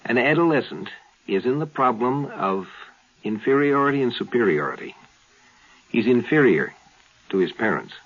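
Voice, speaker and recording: A man giving explanatory, lecture-like commentary in halting, deliberate phrases. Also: medium pitch, big pitch swings, slightly rough. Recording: thin phone-like 1950s radio recording.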